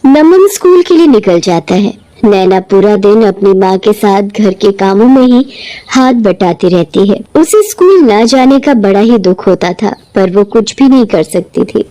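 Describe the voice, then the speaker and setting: A woman narrating an animated children's story in a lively storytelling manner. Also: medium pitch, unhurried, poor audio. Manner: animated, shifts into character voices.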